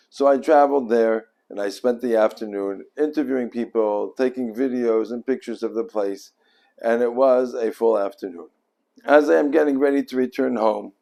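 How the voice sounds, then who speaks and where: An older man telling a personal religious story in an earnest, devout way with deliberate phrasing. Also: mid-range voice, vocal fry, a little mellow.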